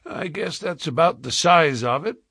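A man voicing a weary, hardened adventurer who speaks gruffly in plain, rustic frontier speech, as in a storybook. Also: lively intonation, moderate pace, bright, forceful tone, no echo, studio quality, full character voice. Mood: resigned. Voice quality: deep, harsh voice.

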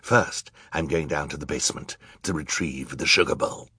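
A man's voice: very deep voice, normal speed, sharp tone, husky, no room sound, clean studio sound, full character voice. A man voicing a scheming, menacing villain count in an audiobook, gloating and theatrical. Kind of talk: audiobook character acting.

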